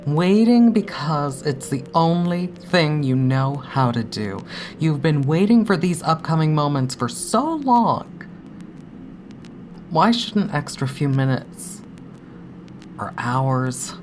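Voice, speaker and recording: Over clearly audible background noise comes a woman performing a haunted, grieving daughter in an audio drama. Her voice is extremely low and she speaks slowly, with long dramatic pauses. She is intimate and full of longing, often sinking toward a breathy hush. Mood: anxious, waiting. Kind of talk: dramatic first-person audio drama monologue.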